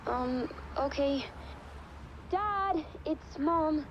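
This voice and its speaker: High-voiced exasperated teenage girl. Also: extremely slow. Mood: defensive, exasperated. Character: defensive, exasperated teenage daughter, breezily playing things down. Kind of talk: video game drama acting. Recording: dull, lo-fi call with background noise.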